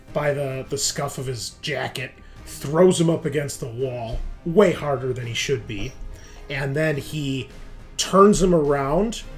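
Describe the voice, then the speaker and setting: A man narrating casually as game master, improvising descriptions with informal asides. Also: on the high side. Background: background music.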